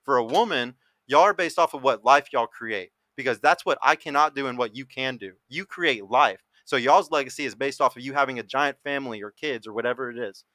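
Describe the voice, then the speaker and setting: A man's voice: medium pitch, fairly quick, few pauses, pushed and bright, dry recording. A man in his thirties hosting a dating podcast, giving blunt, emphatic opinions in casual panel talk and swearing freely. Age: 30s.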